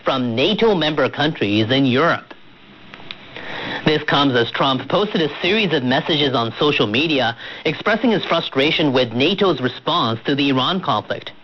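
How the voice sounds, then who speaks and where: A man's voice: on the high side, lively intonation, normal speed. A man reading scripted news as a radio anchor. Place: radio studio, heard through shortwave reception. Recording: muffled shortwave radio broadcast with static.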